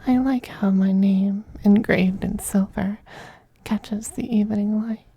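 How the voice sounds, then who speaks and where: Man voicing a soft, high-pitched, slow-talking femboy vampire. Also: steady tone, highs cut off, slight background noise. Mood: possessive, affectionate. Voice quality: soft, breathy, often whispering. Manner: slow, coaxing, condescendingly affectionate. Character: possessive, teasing, doting femboy vampire master styled as mistress. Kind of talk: intimate ASMR roleplay.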